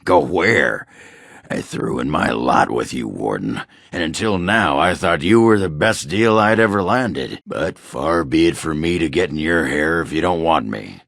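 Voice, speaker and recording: A man playing a crude, boozy, belligerent dwarf warrior in a video game, with a raspy, guttural voice and a coarse, blustering, swaggering manner. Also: rumbling low, expressive, a little slow, fairly bright tone, no room sound, studio quality, exaggerated voice. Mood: dejected, resentful. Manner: coarse, blustering, swearing, slurred drunken swagger. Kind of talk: video game character acting.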